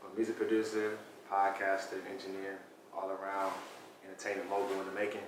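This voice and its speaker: A man's voice: low-pitched, monotone, a little fast, noisy background. A twenty-five-year-old man from Atlanta, introducing himself confidently and briefly. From Atlanta, Georgia. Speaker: music producer and podcaster. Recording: very echoey, distant mic, music behind.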